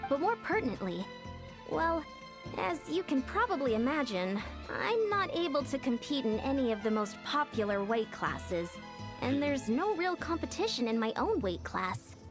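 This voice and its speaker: A diligent young female coach from an anime game. The voice is high and animated, speaking in polite, instructive phrases. Music plays clearly behind it. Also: moderate pace. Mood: earnest.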